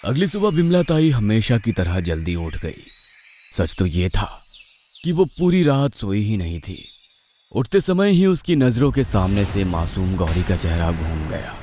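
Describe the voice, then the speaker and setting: Animated low-voiced man narrating horror. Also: a little slow. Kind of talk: scripted horror story narration. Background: music.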